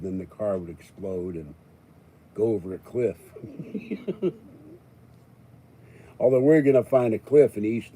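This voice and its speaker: An older American man hosting his own channel, talking in a rambling, casual way with jokey asides and frequent throat-clearing. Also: low voice, dark tone, slight background noise. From Pittsburgh, United States. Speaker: YouTube channel host. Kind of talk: rambling casual monologue to viewers.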